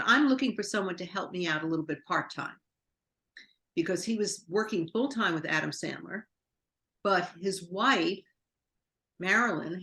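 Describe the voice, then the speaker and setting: A woman's voice: fairly low pitch, fairly bright tone. A woman from New York, a guest in an interview, telling long rambling anecdotes full of quoted dialogue. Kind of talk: interview storytelling.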